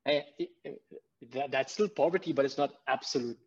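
A man's voice: mid-range voice, a little fast, husky. Earnest man talking in restarts, muffled. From Pakistan. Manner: earnest, repeats words while thinking. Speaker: podcast guest. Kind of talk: casual conversation.

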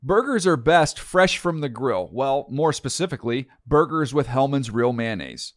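A man's voice: lively intonation, normal speed, no room sound. Male announcer, upbeat commercial read, dry close mic. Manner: smooth, upbeat pitch. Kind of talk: scripted ad read.

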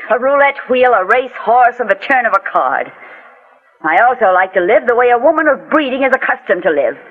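A woman's voice: fairly high pitch, sing-song, brisk, slightly rough. An actress playing a greedy, murderous society woman in an imperious, menacing manner in a 1940s radio drama. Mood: haughty, boastful. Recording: tinny, band-limited 1940s radio recording.

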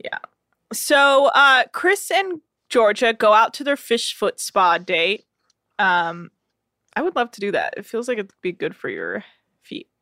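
A woman's voice: medium pitch, sing-song, a little slow, sharp tone, no room sound. A woman co-hosting a casual podcast, recapping a reality show with sarcastic, dry asides. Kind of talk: casual chat.

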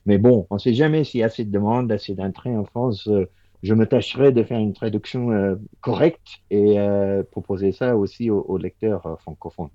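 A man from Ireland, an English speaker talking as a podcast guest in relaxed, chatty French. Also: lowish voice, normal speed, highs cut off. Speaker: guest, automotive engineer. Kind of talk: podcast interview.

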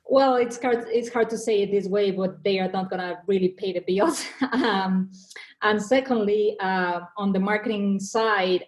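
A woman's voice: medium pitch, low-quality recording. Mexican-accented woman in a podcast interview. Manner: earnest, lots of restarts and repeated words. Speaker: guest, marketing executive.

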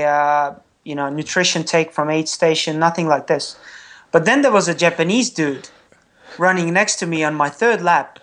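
A young man with an Estonian accent, a non-native English speaker, giving candid, good-humoured interview answers as a triathlete and pausing to search for words. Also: a little high, fairly bright tone. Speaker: triathlete guest.

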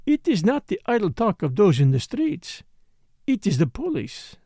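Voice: medium pitch, wildly swooping pitch, normal speed, mellow, husky, no echo, clean studio sound, voice pushed up, full character voice. A reader voicing a passionate, scheming foreign female dancer in an audiobook story, emphatic, dramatic and accusing. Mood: insistent.

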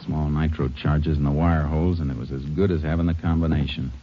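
An actor playing a calm, methodical Texas Ranger detective in a 1950s radio drama. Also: male voice, rumbling low, slight background noise. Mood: steady. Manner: steady, measured and confident. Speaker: lead detective. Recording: dull lo-fi vintage radio recording.

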